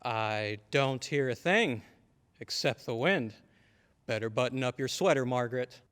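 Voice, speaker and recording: A man playing a stern but affectionate father in an old-time radio drama, with a measured, slightly pompous manner. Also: mid-range voice, animated, fairly bright tone, clean tone. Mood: indulgent, skeptical. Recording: reverberant hall.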